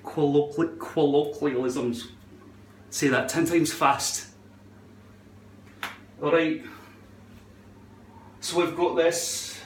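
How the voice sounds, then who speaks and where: A man talks through a casual tutorial at a very unhurried pace. His voice sits a little high, and he puts on an unsteady Scottish accent. He rambles and repeats himself, in a noticeably roomy, echoing space. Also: steady tone, fairly bright tone, slight background noise. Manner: trying on a shaky put-on Scottish accent; rambling, repeats words, sweary. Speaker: vlogger demonstrating a hairpiece adhesive product. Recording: roomy, echoing indoor sound.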